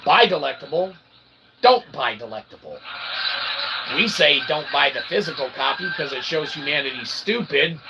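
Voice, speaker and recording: A man's voice: on the high side, expressive, moderate pace, gravelly, background noise. A man delivering a rambling philosophical stream monologue, emphatic and wordy, with convoluted academic phrasing and folksy asides. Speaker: independent channel streamer.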